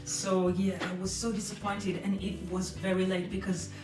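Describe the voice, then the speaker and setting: A woman's voice: mid-range voice, flat delivery, normal speed. Hesitant French-accented woman, roomy distant sound. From France. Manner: hesitant, many fillers, soft laughs. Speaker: vlogger. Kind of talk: casual chat to camera. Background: music behind.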